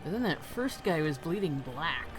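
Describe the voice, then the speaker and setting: A woman's voice: sits low, very animated, moderate pace, over music, poor audio. A woman commenting bluntly and sarcastically on a live show as she streams it.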